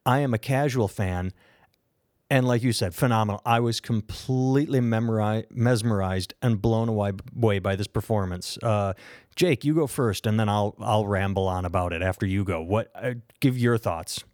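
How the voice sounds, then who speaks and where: A man's voice: fairly low pitch, moderate pace, darkish tone, no echo, studio quality. An American man co-hosting a podcast, telling stories in a rambling, enthusiastic way. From United States. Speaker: podcast co-host. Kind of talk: casual podcast chat.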